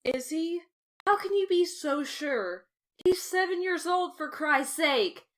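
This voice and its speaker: A woman playing a worried, bitter, sharp-tongued mother in an amateur comic dub. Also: extremely high pitch, lively intonation, slow pace, slight background noise, full character voice. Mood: angry, bitter.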